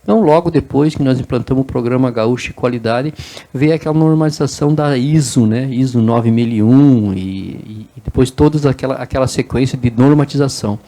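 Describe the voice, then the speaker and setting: A middle-aged man from southern Brazil, answering as a guest in an interview. His voice is dark and mellow at a middle pitch. He explains patiently, repeats words as he searches, and keeps checking in with a quick "né?". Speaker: interview guest, business association president. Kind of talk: conversational interview.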